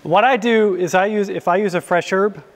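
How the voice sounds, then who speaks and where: A man's voice: high voice, moderate pace. A middle-aged man, a chef giving an informal live cooking demonstration in an easygoing, conversational way. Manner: easygoing, conversational, invites questions. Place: indoor hall before a small audience.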